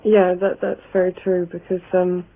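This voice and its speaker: Dutch-born woman with a gravelly voice. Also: lowish voice, completely flat delivery, normal speed. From Netherlands. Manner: thoughtful, repeats words while searching. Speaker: magazine editor. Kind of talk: interview answers. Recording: tinny phone-like radio sound.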